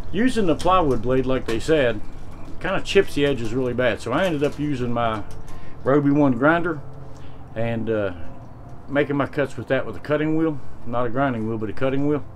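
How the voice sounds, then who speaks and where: Plainspoken older man filming his greenhouse. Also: mid-range voice. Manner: plainspoken, chatty, explains step by step. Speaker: homesteading vlogger. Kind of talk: casual to-camera vlog. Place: outdoors in a roofless greenhouse frame on a windy day.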